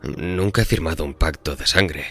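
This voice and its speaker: Man playing deep-voiced, wary, earnest bargainer. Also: normal speed, a bit bright, exaggerated voice. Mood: anxious, questioning. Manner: hesitant, earnest, politely questioning. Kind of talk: audio horror drama acting. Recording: music behind the voice.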